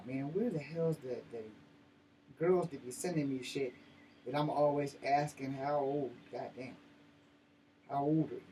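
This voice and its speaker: An American man in his fifties talks off the cuff in a slangy, foul-mouthed ramble. His voice sits a little high for a man. He sounds set back from the mic, with faint noise around him. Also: warm tone. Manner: profane, blunt, slangy. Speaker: host of his own vlog. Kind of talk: rambling casual chat to viewers. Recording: noisy, distant-sounding mic with room ambience.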